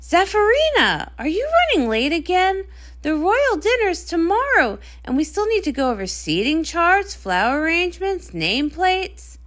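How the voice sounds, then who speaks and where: A woman voicing a gracious, brisk middle-aged pony queen and mother, speaking in a regal, polished, encouraging manner. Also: very high-pitched, big pitch swings, fairly bright tone, exaggerated voice. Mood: brisk, urgent.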